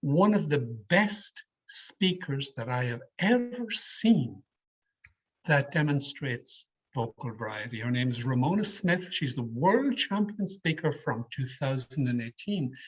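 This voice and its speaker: A man leading an online public speaking workshop in a deliberate, emphatic, varied delivery, as a trainer addressing his learners. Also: on the high side, husky. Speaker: speech trainer giving an educational session. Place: online video call. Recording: slightly muffled, lo-fi video call audio.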